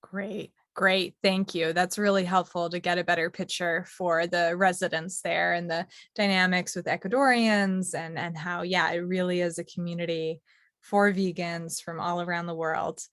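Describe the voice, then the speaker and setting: A woman's voice: fairly low pitch, fairly even intonation, moderate pace, dry recording. A woman hosting a virtual tour, thanking speakers and steering to the next questions. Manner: warm, affirming, steers to questions. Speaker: tour host. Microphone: video call. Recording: dry close audio.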